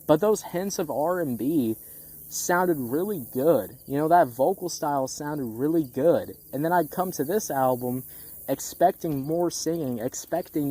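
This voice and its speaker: Mellow-voiced music critic over background noise. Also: medium pitch. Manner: repeats phrases for emphasis, exasperated, blunt; casual swearing. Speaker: music critic, album reviewer. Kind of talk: casual opinionated album review monologue.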